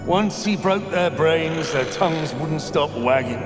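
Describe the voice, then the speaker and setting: A man's voice: on the high side, expressive, a little slow. A man playing a cruel old dwarf who gloats and taunts in a fantasy game. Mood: gloating. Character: cruel gloating old male duergar, blinded, boastful. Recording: echoey hall with music behind.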